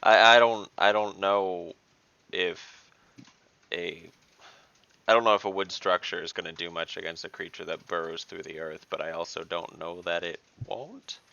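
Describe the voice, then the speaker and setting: A man with a low, creaky, forward-edged voice chats as a player in a tabletop game. He reasons aloud casually, pausing and hesitating. The audio is dry and slightly dull, like a voice call. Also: little pitch movement, bright, forceful tone, dry recording.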